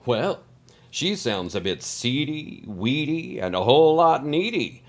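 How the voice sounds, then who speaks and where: A jovial captain acted out by a man hosts a scripted podcast with theatrical heartiness. His pitch moves in animated swings and he relishes his wordplay. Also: a little slow, faint music behind. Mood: hearty, playful. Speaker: podcast host.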